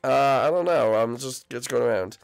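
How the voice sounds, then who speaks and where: A woman's voice: rumbling low, moderate pace, vocal fry, darkish tone, no room sound, soft background music, voice pushed down. A woman voicing a nervous, lonely young boy prince who stammers and hesitates. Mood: awkward.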